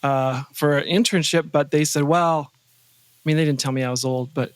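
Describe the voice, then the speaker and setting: A middle-aged man chatting casually as an interview guest, often halting and restarting his sentences mid-thought. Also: fairly high pitch, fairly even intonation, smooth, dry recording. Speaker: podcast guest, composer and sound designer.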